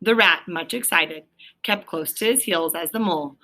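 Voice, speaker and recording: A woman's voice: on the low side, normal speed, sharp tone. A woman reading a children's story aloud expressively, as if to a young listener. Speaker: storybook reader. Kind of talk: expressive read-aloud narration with asides to a child.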